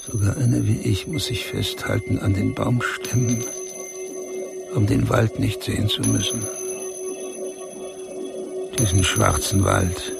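A man's voice: fairly low pitch, fairly even intonation, a little slow, gravelly, long pauses. An actor playing a boastful, ruthless male finance boss in a radio drama, declaiming theatrically and with menace. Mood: menacing. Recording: radio play with loud music.

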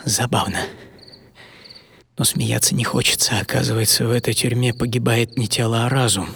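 An actor playing a proud, philosophical prisoner of the eighteenth century in a first-person audio drama monologue, theatrical and intense. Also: male voice, mid-range voice, steady tone, normal speed, gravelly, a little hiss or hum. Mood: reflective. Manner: theatrical, intense, swinging from hushed whispers to agitated cries. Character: imprisoned 18th-century adventurer, proud, brooding, philosophical man. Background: music under the voice.

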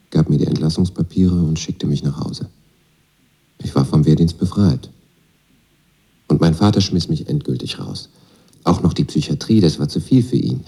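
A very low, dark male voice as a young student who has turned against his military father. He recounts his life in a laconic, matter-of-fact radio monologue, with a mellow, unforced tone. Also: breathy edge, heavily acted. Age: young adult. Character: disillusioned young Moscow student, pacifist son of army father. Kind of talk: radio drama monologue, first-person testimony.

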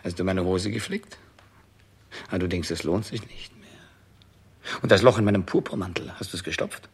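A dying monarch in a vintage German radio drama, imperious yet afraid. His low, husky voice crackles with fry as he declaims and pleads, slowly and haltingly, clinging to life. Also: heavily acted. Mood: wistful questioning. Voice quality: gravelly, husky. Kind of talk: 1960s German radio play acting.

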